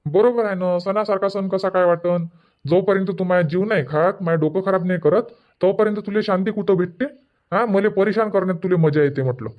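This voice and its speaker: A high-pitched, irritable cartoon husband, acted by a man, grumbles and argues in a Varhadi Marathi village accent. The recording is slightly dull and lo-fi. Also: brisk, lightly acted. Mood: grumbling. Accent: Varhadi Marathi (Vidarbha). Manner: grumbling, argumentative. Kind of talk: Marathi comedy cartoon dubbing.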